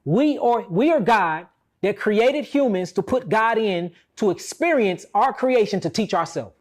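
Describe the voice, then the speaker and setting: A man's voice: high-pitched, lively intonation, measured pace. An American man giving an impassioned, conversational spiritual talk, explaining emphatically and checking in with his listener.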